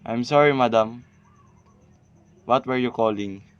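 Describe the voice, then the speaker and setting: A man's voice: mid-range voice, measured pace, clear, resonant tone, natural voice. A man playing a polite, formal telephone operator in an amateur radio play. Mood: polite, puzzled. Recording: dull, dry lo-fi audio.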